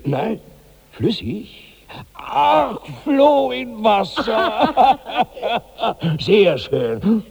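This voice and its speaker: An actor playing a gigantic, self-pitying rich glutton of 65 in theatrical, grandiose 1960s radio drama style, swinging between brooding and giddy. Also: male voice, sits high, big pitch swings, drawn-out words, vocal fry, long pauses, darkish tone, husky, exaggerated voice. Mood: giddy, mocking. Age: older. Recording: reverberant 1960s radio studio recording.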